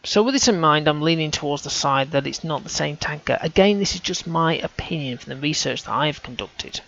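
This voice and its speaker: A man's voice: on the high side, animated, a little fast, creaky, a bit bright, highs cut off, a little hiss or hum. A man talking through on-screen images, casual and hesitant, often restarting his sentences as he thinks aloud. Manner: hesitant, self-correcting, casual. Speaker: amateur researcher.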